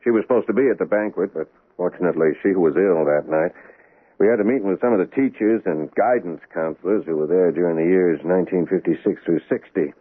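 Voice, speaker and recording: Cynical, low-voiced cop, dry and firm, on tinny old radio. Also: male voice, fast, slightly rough. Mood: probing. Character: hard-nosed male police lieutenant, blunt. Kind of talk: 1970s radio drama acting. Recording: tinny lo-fi vintage radio recording.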